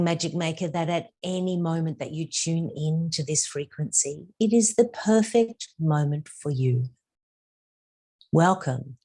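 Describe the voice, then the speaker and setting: A woman with a low voice guides a meditation, unhurried and soothing. She draws out her phrases gently and lets some words drop to a hushed whisper. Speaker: meditation guide and spiritual teacher.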